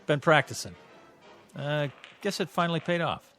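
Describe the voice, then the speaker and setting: An actor with an American accent playing a proud, prickly, obsessive young pool shark in a radio drama. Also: male voice, on the high side, normal speed, a bit bright, soft background music. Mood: sly, casual. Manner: boastful, intense, casual American slang.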